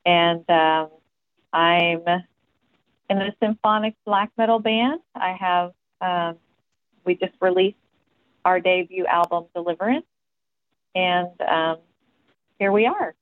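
A woman's voice: lowish voice, slow pace, long pauses, dry recording. A chatty woman from Tennessee talks loosely through interview answers, full of ums and asides. Her voice comes over a tinny, phone-like line, dry with no room sound. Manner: chatty, rambling, many ums, occasional swearing. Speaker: metal band singer, interview guest.

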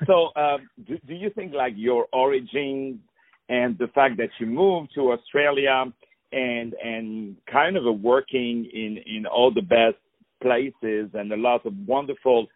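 A man's voice: medium pitch, a little slow, dry recording. Man hosting a radio interview, effusive and repeats himself, dry muffled sound. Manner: effusive, repeats words, many uh fillers. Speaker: radio show host. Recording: dull lo-fi radio sound with faint music.

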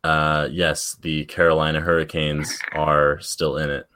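A man co-hosting a casual podcast, deep-voiced and self-deprecating. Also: completely flat delivery, unhurried, bright, forceful tone, clean tone. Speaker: podcast co-host.

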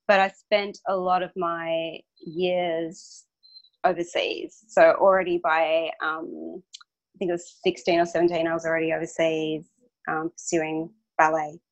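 An Australian woman with a slightly low voice talks as an interview guest. She is reflective and hesitant, searching for her words. The recording sounds a little dull and muffled. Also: flat delivery, a little slow. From Melbourne, Australia. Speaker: podcast guest, yoga teacher.

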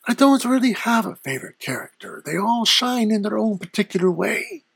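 An actor plays the lord of hell as a dry, patient podcast guest. The voice is low, very gravelly and husky, with deliberate pronouncements that rise and fall widely. It is recorded close and dry. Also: very animated, no echo, exaggerated voice. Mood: measured, aloof. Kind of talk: comedic podcast interview in character.

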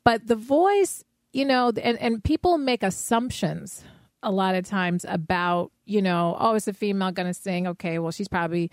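A woman with a soft voice chats easily about life as a singer, piling on "you know" asides. The sound is dry and close, with no room echo, and her pitch sits in a middle range. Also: normal speed. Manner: animated, chatty, many "you know" asides. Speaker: singer and podcast co-host. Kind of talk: casual conversation.

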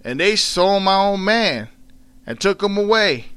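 A man voicing an elderly, proud and sorrowful formerly enslaved mother, telling her story in halting folksy dialect. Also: high voice, expressive, fairly bright tone, clear, resonant tone, pitched above the performer's own voice. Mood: sorrowful, defiant. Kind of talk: dramatic read-aloud of a dialect tale.

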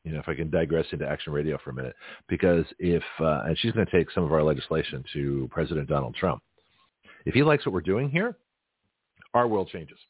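A man hosting a talk radio show, chatting and rambling with a gravelly, slightly hoarse voice. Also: a bass voice, lively intonation, fast talker, no echo. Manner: rambling, chatty, self-interrupting. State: slightly hoarse, has a cold. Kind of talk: casual talk radio monologue. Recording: dry, phone-like narrow sound.

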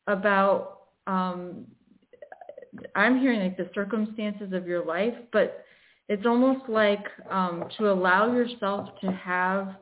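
Halting woman medium over a phone line. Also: mid-range voice. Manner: hesitant, many ums and restarts. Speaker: medium answering callers. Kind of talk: intuitive reading, casual and halting.

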